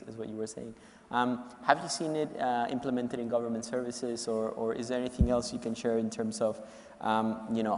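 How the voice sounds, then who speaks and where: A man's voice: medium pitch, a little mellow, slight background noise. A man moderating a panel, asking long, polite questions with many restarts. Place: conference hall panel stage. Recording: reverberant conference hall.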